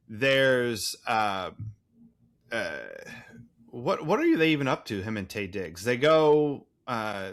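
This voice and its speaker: Bright-voiced man, rambling and irreverent. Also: mid-range voice. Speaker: podcast co-host. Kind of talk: casual movie recap chat.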